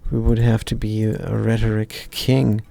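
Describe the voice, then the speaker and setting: A man with a German accent and a dark, gravelly voice talks through code at a desk mic, half-finishing his sentences. He is wry and self-deprecating, with faint music under him. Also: lowish voice, level tone, moderate pace. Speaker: live-streaming coder. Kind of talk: thinking aloud while coding, chatting with viewers.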